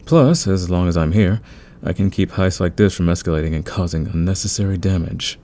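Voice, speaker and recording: Man acting as deep, gravelly, easygoing veteran thief. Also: brisk, warm tone, slight background noise. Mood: friendly, easygoing. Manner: soothing, patient, chuckling, conversational. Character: calm, friendly veteran male thief smoothing over team tensions. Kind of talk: ASMR audio roleplay acting.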